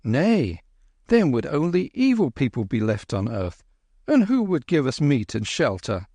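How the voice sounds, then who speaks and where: A man voicing a cheeky, quick-witted young boy with a tart, cocky manner. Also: mid-range voice, sing-song, warm tone, no echo, voice pushed up.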